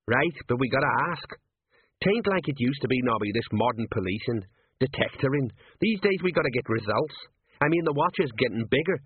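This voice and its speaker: A man's voice: medium pitch, animated, fairly quick, pitched above the performer's own voice. Man doing a rambling old sergeant. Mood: worried. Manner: rambling, folksy working-class talk. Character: aging, portly, worried watch sergeant, man. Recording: dry husky phone-like audio.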